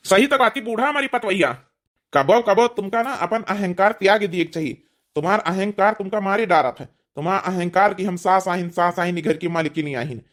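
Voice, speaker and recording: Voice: mid-range voice, fairly quick, a bit bright, natural voice. An actor playing a reasoning older village husband in an Awadhi cartoon comedy, lecturing and repeating points. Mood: admonishing. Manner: lecturing, repetitive.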